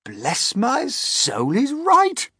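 Storybook old grasshopper, performed by a man: high, shrill, excitable and full of alarm. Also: extremely slow, breathy edge, no room sound, clean studio sound, heavily acted. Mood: astonished. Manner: shrill, exclaiming, frantic. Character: old green male grasshopper, loud and alarmed.

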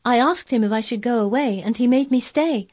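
A woman's voice: fairly high pitch, a little fast, a little mellow, slightly rough. A woman voicing a stubborn, self-possessed young girl who speaks firmly and plainly in a storybook reading. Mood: matter-of-fact. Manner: firm, plain-spoken, earnest.

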